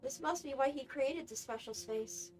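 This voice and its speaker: A woman's voice: high voice, moderate pace, lightly acted. A woman playing a thoughtful young female onlooker in a video game, speaking in a musing, wondering way. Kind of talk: anime video game voice acting. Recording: faint music behind.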